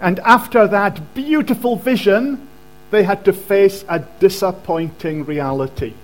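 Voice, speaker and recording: A man preaching a sermon in short phrases, pausing so an interpreter can translate each one. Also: high-pitched.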